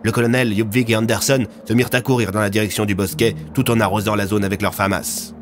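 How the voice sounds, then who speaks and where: A man's voice: on the low side, fairly even intonation, fast talker, background noise. A man narrating a scripted dramatic story as an audio fiction storyteller. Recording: music under the voice.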